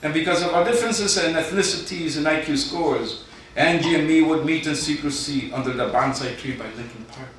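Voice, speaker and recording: A middle-aged man reading his poetry live, with informal asides and a wry, deadpan storytelling manner. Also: on the high side, brisk. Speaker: poet. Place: live event in a reverberant room, audience present. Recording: very echoey hall, distant, noisy.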